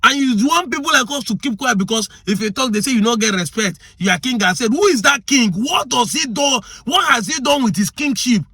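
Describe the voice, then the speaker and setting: A man's voice: very high voice, lively intonation, brisk, few pauses, pushed and bright, husky, no room sound, clean studio sound. A man with a Nigerian accent giving impassioned commentary, arguing hard and firing off rhetorical questions. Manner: argumentative, fires rhetorical questions.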